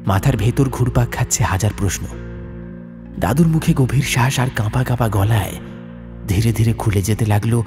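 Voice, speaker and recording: Animated male horror storyteller over music. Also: fairly low pitch. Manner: suspenseful, theatrical phrasing. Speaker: audiobook narrator. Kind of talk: dramatic scripted horror narration.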